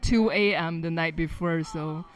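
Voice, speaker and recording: A woman from China, an interview guest talking calmly and matter-of-factly. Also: sits low, unhurried, smooth. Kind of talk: casual conversation.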